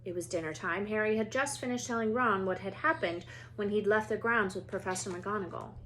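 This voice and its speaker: A woman storyteller reading a children's novel aloud in an expressive narrating style. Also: mid-range voice, a little fast, a little hiss or hum, rough recording.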